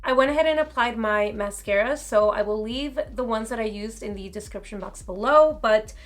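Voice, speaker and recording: A young woman with a slightly raspy voice, chatting casually as she tries on and reviews makeup at home. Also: fairly high pitch, normal speed, faint music behind. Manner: chatty, casual commentary while applying makeup. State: raspy voice from talking too much. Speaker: beauty vlogger. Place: home beauty room, indoors.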